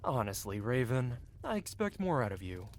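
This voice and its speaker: A man's voice: medium pitch, very animated, moderate pace. A man playing a cocky, flirtatious medieval blacksmith in a fantasy ASMR roleplay, with a playful, teasing and theatrical way of talking. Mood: chiding.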